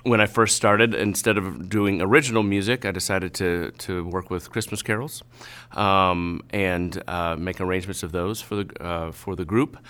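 Low, bright-voiced man, halting and thoughtful. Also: fairly even intonation, smooth. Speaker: composer and music arranger. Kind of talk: reflective interview talk.

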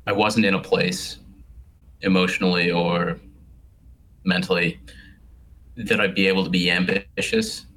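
A man with a very deep but bright, forward voice speaks over a phone call. He answers reflectively and hesitantly, restarting his phrases as he thinks. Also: flat delivery, unhurried, pauses often.

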